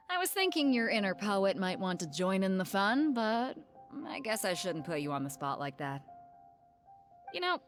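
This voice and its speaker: A woman's voice: lowish voice, a bit bright. Brash hearty lady captain, teasing and bossy, game dialogue with some music under it. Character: bold, hearty, confident woman ship captain. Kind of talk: video game voice acting.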